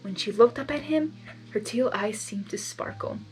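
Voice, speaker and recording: A woman's voice: a little high, normal speed, a little mellow, faint music behind, low-quality recording. A woman reading a story aloud, with candid, rambling and informal personal asides. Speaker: fan fiction reader and commentator.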